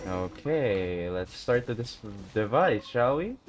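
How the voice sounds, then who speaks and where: A woman's voice: rumbling low, lively intonation, slow pace. A woman streamer giving casual, playful reaction commentary over a game, in short muttered remarks. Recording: game music clearly behind.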